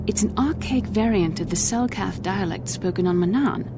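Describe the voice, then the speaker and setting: An actress playing an earnest, analytical young female Jedi companion in a video game, with formal, measured, thoughtful speech. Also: mid-range voice, noisy background, natural voice. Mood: puzzled, analytical. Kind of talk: scripted game dialogue. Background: game music.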